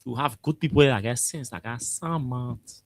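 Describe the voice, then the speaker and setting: A Liberian man hosting a radio talk show, emphatic and animated, often repeating himself. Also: mid-range voice, normal speed, vocal fry, no room sound. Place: radio studio.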